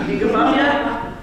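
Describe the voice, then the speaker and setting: A man's voice: extremely high pitch, exaggerated voice. A teenage boy acting an earnest, boastful, awkward young suitor in an amateur stage play. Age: teens. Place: high school stage, filmed play. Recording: echoey stage, distant mic, faint noise.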